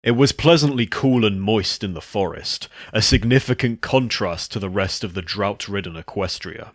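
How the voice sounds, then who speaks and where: A man's voice: on the low side, expressive, moderate pace, dry recording. A man reading scripted story narration as the narrator, recorded on a close mic.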